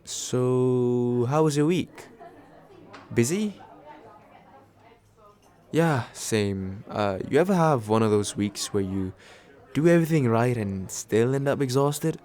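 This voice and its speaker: A man playing a nervous, kind guy on a second date, speaking haltingly and reassuringly in an ASMR comfort roleplay. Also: mid-range voice, expressive. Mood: friendly, playful. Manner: hesitant, soft-hearted, self-deprecating, halting with small pauses. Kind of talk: ASMR comfort roleplay, acted as casual chat.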